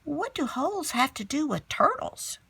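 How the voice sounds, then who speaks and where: A woman's voice: sits high, big pitch swings, normal speed, vocal fry, sharp tone, no echo. A woman teacher reading a picture book aloud expressively, with chatty asides aimed at young children. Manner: addresses young listeners with simple questions and asides.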